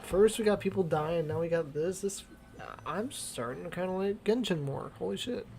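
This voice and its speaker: A man's voice: sits high, moderate pace, gravelly, darkish tone, a little hiss or hum. A man giving casual, slangy gameplay commentary in an offhand way. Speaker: streamer reacting to a game.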